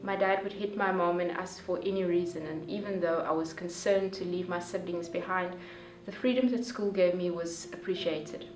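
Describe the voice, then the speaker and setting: A woman's voice: lowish voice, steady tone, moderate pace. Young woman reading carefully, mic kinda far, echoey, faint music underneath. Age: young adult. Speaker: youth reader. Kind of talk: reading a first-person story aloud. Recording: distant echoey room with soft music.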